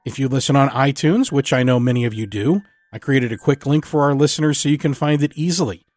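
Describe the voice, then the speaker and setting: A man's voice: medium pitch, quick pace, darkish tone, dry recording. A man hosting a podcast, reading a scripted introduction and announcements. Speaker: podcast host. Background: music.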